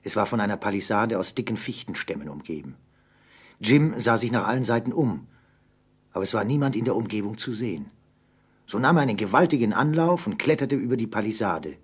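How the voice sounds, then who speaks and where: A man as the measured narrator of a German adventure radio play, telling the story with a husky voice. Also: lowish voice.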